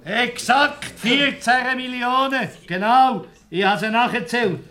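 Ein Schauspieler mit Schweizer Akzent spielt in einem Hörspiel einen herrischen, erregbaren Dorfwirt. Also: male voice, very high voice, slow, pushed and bright, roomy sound, exaggerated voice. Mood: excited. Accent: Swiss. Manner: brusque, commanding. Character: bossy, excitable male village innkeeper chairing a meeting. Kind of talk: radio play acting.

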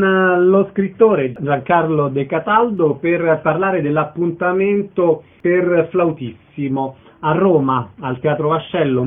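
Higher-voiced male radio host, thin sound. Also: phone-quality sound. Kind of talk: radio interview, introducing a guest.

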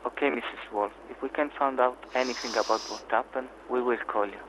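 An actor playing a formal, sympathetic Sicilian police officer in a radio drama. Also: male voice, mid-range voice, steady tone, a little fast, background noise, natural voice. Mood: grave, sympathetic. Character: apologetic male Sicilian police officer on the phone. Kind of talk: naturalistic radio drama acting.

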